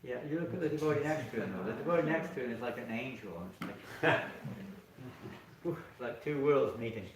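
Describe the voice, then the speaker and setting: A man's voice: mid-range voice, fairly quick. A man hosting a gathering of offerings, talking in a chatty, loose way and thinking aloud between speakers. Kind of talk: informal emceeing. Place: temple hall. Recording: echoey hall, voice from far off.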